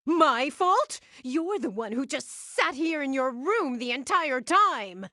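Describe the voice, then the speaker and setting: Scornful, proud gem villainess, slow-spoken. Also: female voice, high-pitched, big pitch swings, sharp tone, dry recording, clean studio sound, exaggerated voice. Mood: defiant, resentful. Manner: grandiose, scornful. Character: proud, rebellious female gem villain soldier. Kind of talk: animated game voice acting.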